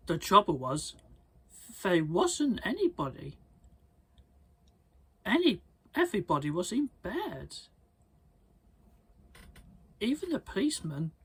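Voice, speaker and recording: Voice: medium pitch, sing-song, slow pace, pauses often, husky. A person reading a children's story aloud, often stumbling over words and repeating them. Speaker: storybook reader.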